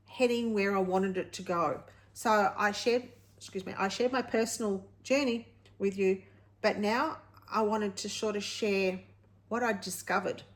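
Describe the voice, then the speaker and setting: A woman, talking candidly to viewers in a casual, rambling vlog style, often correcting herself. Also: mid-range voice. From Australia. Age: late 50s. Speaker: vlog host.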